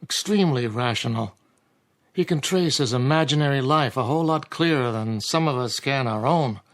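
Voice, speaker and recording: An actor playing a wry, folksy old country doctor in a radio drama, measured and wordy. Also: male voice, mid-range voice, natural voice. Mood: wry, intrigued. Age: older.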